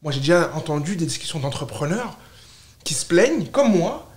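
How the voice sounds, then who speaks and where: A man's voice: a little high, very animated, moderate pace, a little mellow, reverberant. A man from France speaking casually as a podcast guest, emphatic, repeating and piling up short phrases. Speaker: podcast guest, startup founder. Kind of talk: casual, animated conversation.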